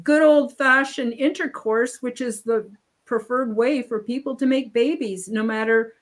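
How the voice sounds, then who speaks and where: A woman's voice: a little high, steady tone, measured pace. Rambling woman on a video call. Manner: rambling, frequent restarts and fillers. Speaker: guest. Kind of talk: casual interview talk. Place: home room on video call.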